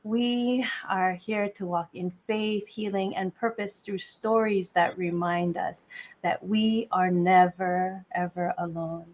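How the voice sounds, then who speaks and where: A woman from Hawaii hosting a live podcast interview, speaking in a measured, deliberate way. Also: lowish voice, steady tone. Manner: measured, deliberate delivery with a scripted-sounding welcome. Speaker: podcast host.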